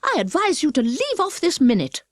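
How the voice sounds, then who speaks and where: Storybook child heroine, played by adult woman: little girl, curious, earnest, well-mannered, raised pitch, very animated melody. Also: normal speed, dry recording, clean studio sound. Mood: sharp self-scolding. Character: curious little girl, polite, earnest, prone to self-scolding.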